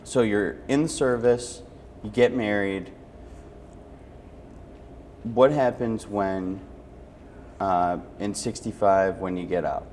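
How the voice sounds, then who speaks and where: A man's voice: fairly low pitch, steady tone, slow, long pauses, a little mellow, a little hiss or hum. A man interviewing a guest conversationally as host, encouraging and interested as he asks his questions.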